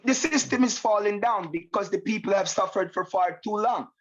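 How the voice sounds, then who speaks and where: A high-pitched man with a Jamaican accent talks as a musician being interviewed. He drives points home emphatically, repeating phrases for weight. The sound is dull and lo-fi. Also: normal speed. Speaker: musician guest. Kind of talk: interview conversation.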